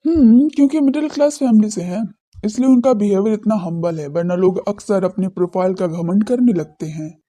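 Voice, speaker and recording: A man's voice: high voice, a little fast, warm tone. A man playing an earnest, familiar young man in an audio drama. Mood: casual.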